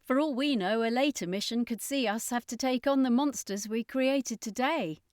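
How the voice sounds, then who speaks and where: A woman's voice: fairly high pitch, moderate pace, darkish tone, dry recording, clean studio sound, pitched above the performer's own voice, close to an everyday voice. A woman voicing a detached, blunt female magical healer in an audiobook story. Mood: cool, blunt. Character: callous, matter-of-fact female magic-wielding healer.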